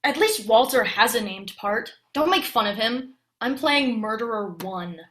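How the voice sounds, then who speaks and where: Bright, earnest young bit-part actor played by a woman. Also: a little high, expressive, unhurried. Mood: indignant. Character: earnest, soft-hearted young male minor actor.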